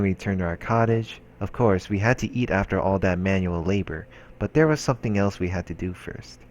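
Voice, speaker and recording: A man's voice: sits low, slight background noise. A man doing a let's play, reading visual novel narration aloud in a casual, informal way, stumbling now and then and adding his own comments. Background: background music from the game.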